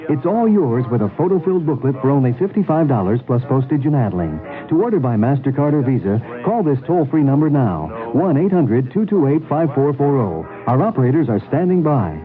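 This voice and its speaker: An American man reading a scripted sales pitch as a radio ad announcer. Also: sing-song, a little fast, few pauses, soft background music. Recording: muffled narrow-band old radio ad.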